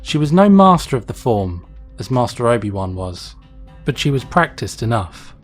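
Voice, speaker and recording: A man's voice: mid-range voice, big pitch swings, normal speed, faint music behind. A man reading an action story aloud as narrator, in a dramatic scripted style. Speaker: fan fiction reader, narrator.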